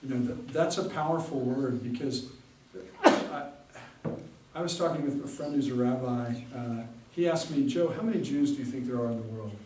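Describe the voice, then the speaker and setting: A man, a pastor, giving a casual, conversational talk with many fillers and pauses. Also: mid-range voice, background noise. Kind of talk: casual lecture with audience Q&A. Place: large hall with audience. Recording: echoey hall from far off.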